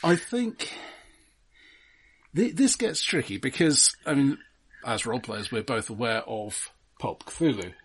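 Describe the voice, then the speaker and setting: Guy cohosting a film podcast, bit husky, medium pitch, careful n analytical, kinda hesitant. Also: expressive, unhurried. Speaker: podcast co-host. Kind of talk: casual film discussion.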